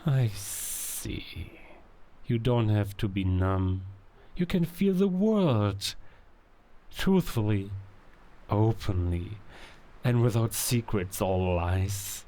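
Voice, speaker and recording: Man acting slow, low, scheming werewolf villain. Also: expressive, slight background noise, full character voice. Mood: seductive coaxing. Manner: slow, theatrical, insinuating, with drawn-out words and hushed asides. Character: scheming werewolf king in disguise, coaxing, manipulative villain. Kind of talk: cinematic audio drama villain acting.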